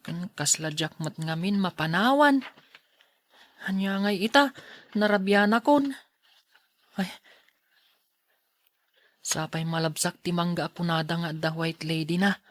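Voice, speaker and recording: A woman's voice: lowish voice, animated, unhurried, long pauses. A woman playing a timid, polite schoolboy in an audio drama, speaking hesitantly and bashfully. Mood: nervous.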